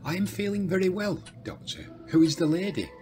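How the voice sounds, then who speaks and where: A man's voice: high voice, brisk, darkish tone, soft background music, close to an everyday voice. An actor playing a courteous but eerie madman in a radio drama, speaking formally with abrupt, agitated turns. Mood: nervous, polite. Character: unsettled male asylum lunatic, courteous but eerie.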